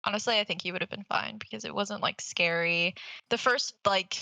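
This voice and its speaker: A woman's voice: medium pitch, a little fast, sharp tone, no room sound. A woman co-hosting a podcast, casually rambling through a movie review in informal language. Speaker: podcast co-host.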